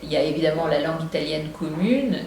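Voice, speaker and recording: A woman with a Marseille accent speaks in a thoughtful, halting way and restarts her sentences often. The room around her is strongly echoey, with a faint hiss underneath. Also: sits low, animated, a little mellow. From Marseille, southern France. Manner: thoughtful, with many hesitations and restarts. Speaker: poet and translator. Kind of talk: reflective interview talk.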